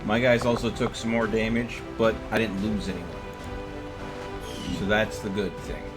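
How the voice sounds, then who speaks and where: A man with a fairly low voice gives casual commentary as he plays a video game. He thinks out loud and repeats himself, while loud game music runs behind him. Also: fairly even intonation, normal speed. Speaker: gaming streamer. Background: video game music and sound effects.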